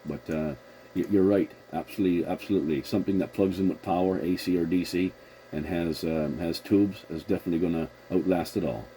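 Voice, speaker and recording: A man's voice: low voice, steady tone, a little fast. A man chatting casually as a CB radio operator, agreeable and a little hesitant, with a noisy recording. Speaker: CB radio operator hosting the contact.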